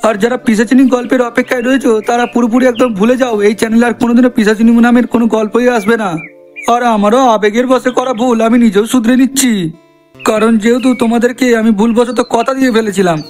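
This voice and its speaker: A man's voice: extremely high pitch, fairly even intonation, fast talker, darkish tone. A man voicing an emphatic, talkative uncle in a comedy cartoon. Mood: earnest. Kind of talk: cartoon character addressing viewers. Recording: voice over background music.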